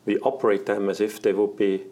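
A man in an interview, explaining air traffic plans as an executive, halting often with fillers and restarts. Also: lowish voice, little pitch movement, mellow. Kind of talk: interview answers.

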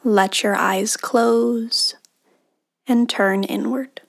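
A woman leading a guided meditation in a hushed, breathy, soothing delivery with long pauses between phrases. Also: on the high side, fairly even intonation. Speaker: meditation guide, podcast host.

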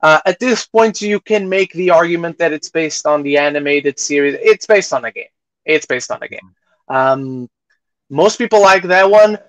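A man's voice: fairly high pitch, lively intonation, moderate pace, fairly bright tone, no room sound. A man co-hosting a podcast, casually discussing movies in an opinionated, emphatic way. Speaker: podcast co-host.

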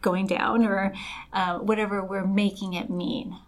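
A woman's voice: mid-range voice, flat delivery, unhurried. A young woman raised in Los Angeles, co-hosting a podcast as a life coach and explaining ideas in casual chat, full of fillers. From Los Angeles, raised by Colombian family. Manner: chatty, many fillers like "like" and "kinda".

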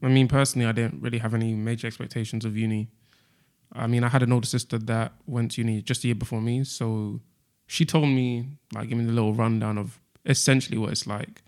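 A man in his twenties from London, co-hosting a podcast and speaking in a measured, matter-of-fact way. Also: mid-range voice, flat delivery, a little mellow. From London, England. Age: 20s. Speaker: podcast co-host. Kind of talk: casual chat.

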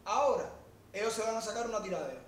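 A man's voice: high-pitched, fast talker. Man talking music, echoey room. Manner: explains at length, animated when stressing points. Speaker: podcast co-host. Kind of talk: casual chat about urban music. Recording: roomy echo, distant from mic, faint noise.

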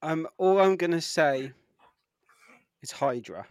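Guy with a pretty high voice, dry sound, casual podcast talk, kinda halting and repeating himself. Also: lively intonation, no echo. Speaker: podcast co-host. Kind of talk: casual chat.

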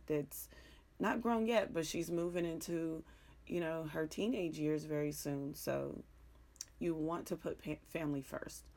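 Deep-voiced raspy woman, middle-aged sports talk host, hoarse, frank and rambling. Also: a little mellow. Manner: frank, joking, rambling, with mild cursing. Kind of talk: casual sports talk monologue.

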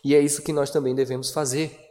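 A man preaching a sermon as pastor, with dramatic pauses and emphatic delivery. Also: medium pitch, level tone, warm tone. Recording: long hall reverb.

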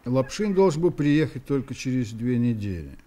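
Mellow male radio play narrator. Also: mid-range voice, big pitch swings, moderate pace. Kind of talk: scripted literary narration.

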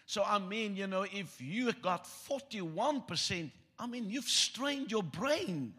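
A man's voice: high voice, lively intonation, slow, sharp tone, breathy edge. A man from South Africa whose first language is Afrikaans, preaching a sermon with joking asides and reading scripture aloud. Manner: joking, self-deprecating asides.

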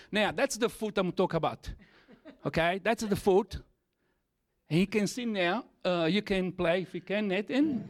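Italian-accented man giving halting testimony. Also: high-pitched. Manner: halting, with frequent fillers and broken English. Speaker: church speaker giving testimony. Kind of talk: testimony sermon.

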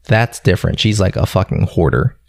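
A young man from Philadelphia talks casually about his childhood, rambling and swearing now and then. His voice sits low with a gravelly edge. The sound is dry and close. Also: animated, a little fast, darkish tone, no echo. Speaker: guest. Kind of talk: casual conversation.

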